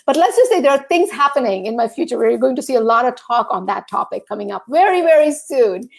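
High-voiced chatty woman host in her thirties. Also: animated, quick pace, gravelly. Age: 30s. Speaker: podcast host. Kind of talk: casual live-stream interview.

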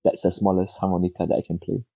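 Soft-spoken, hesitant young man. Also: sits low, flat delivery, fast talker, vocal fry, no echo. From Malaysia. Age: 20s. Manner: hesitant, modest, repeats words and laughs often. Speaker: guest. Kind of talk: conversational interview answers.

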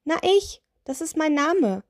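A woman's voice: sits high, very animated, slow pace, dry recording, studio quality, voice pushed up, heavily acted. A woman voicing a little fearless witch in a children's story, cheerfully unbothered, cheeky and matter-of-fact.

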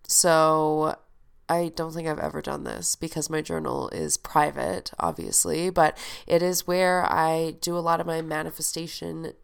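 Stuffed-up nasal young woman, gravelly. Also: low voice, little pitch movement, unhurried. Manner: chatty, swears freely, bubbly bestie tone. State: head cold, stuffed up and nasal. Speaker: podcast host. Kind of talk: casual podcast chat.

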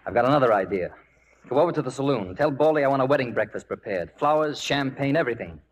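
An actor playing a bitter, restless gunslinger in a 1940s radio western, speaking curtly and sardonically. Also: male voice, mid-range voice, expressive, quick pace, dull audio, slight background noise, lightly acted. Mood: sardonic, reckless. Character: bitter, restless Old West gunslinger called Doc.

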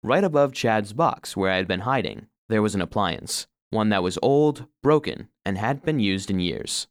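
An actor playing an earnest, sensitive household robot who narrates his own story for children in an expressive, heartfelt way. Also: fairly low pitch, normal speed, close to an everyday voice. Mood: suspenseful. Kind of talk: scripted first-person storybook narration for children.

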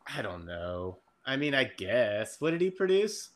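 A man's voice: mid-range voice, big pitch swings, a little slow, a bit bright. A man in his forties chatting casually as a podcast guest, blunt, opinionated and freely swearing. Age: 40s. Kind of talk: casual chat.

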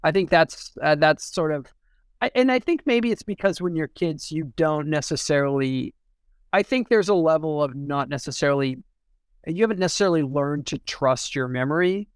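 A man in a casual literary discussion, thinking aloud in a halting way and often repeating and restarting his phrases. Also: fairly high pitch, expressive, clear, resonant tone, no room sound, clean studio sound. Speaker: podcast discussion co-host.

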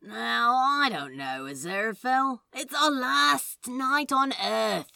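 A woman's voice: fairly high pitch, expressive, slow pace, creaky, pushed and bright, no room sound, exaggerated voice. A woman playing a sardonic, sentimental male demon with a loose drawl in an acted audio story. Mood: drunk, wistful. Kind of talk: audio fan fiction character acting.

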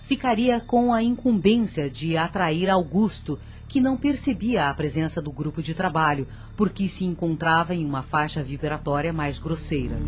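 A woman's voice: sits low, a little hiss or hum. A woman narrating a radio drama from a script.

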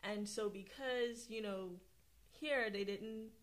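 A woman's voice: mid-range voice, slow pace. A woman speaking as an interview guest, telling her own story in an informal, colloquial way. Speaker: interview guest, air traffic controller. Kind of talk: casual chat, anecdotal storytelling.

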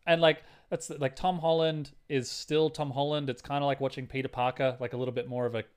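A man's voice: mid-range voice, little pitch movement, fairly quick, darkish tone, clear, resonant tone. A man co-hosting a casual movie review chat, thinking aloud in an informal, loosely structured way. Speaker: podcast co-host.

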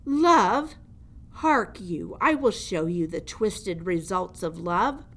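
A woman's voice: fairly low pitch, lively intonation, slow, a bit bright, heavily acted. A woman voicing a grim, theatrical old male dungeon jailer in a storybook, ominous and emphatic. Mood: mocking. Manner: ominous, emphatic, refers to himself in third person. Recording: noticeable background noise.

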